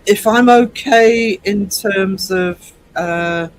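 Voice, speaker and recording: A man's voice: sits high, extremely slow, low-quality recording. A man in his sixties hosting a livestream, chatting casually and reading viewer comments aloud, teasing and laughing often. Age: 60s. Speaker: livestream host.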